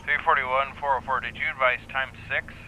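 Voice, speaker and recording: A man's voice: mid-range voice, steady tone, fairly quick, noisy background, natural voice. A man playing a businesslike police officer, speaking in clipped, procedural radio calls for an online game roleplay.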